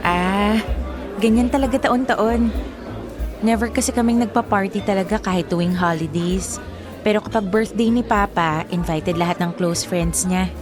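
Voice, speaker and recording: A woman's voice: mid-range voice, moderate pace, over music, a crowd in the background, natural voice. A woman acting a confident, exasperated but patient young girlfriend in a Filipino radio drama, speaking emphatic Taglish. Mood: casual, reassuring.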